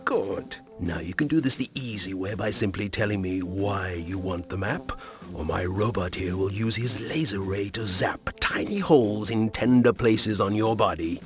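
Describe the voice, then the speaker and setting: A man voicing a smug, menacing island boss in a video game, mocking and theatrical, with drawn-out threats. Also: lowish voice, over music, close to an everyday voice.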